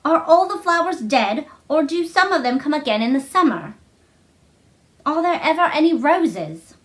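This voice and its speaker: Woman voicing a high-pitched, eager young girl. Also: very animated, normal speed, a bit bright. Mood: curious, eager. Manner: earnest, questioning. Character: curious, eager ten-year-old girl.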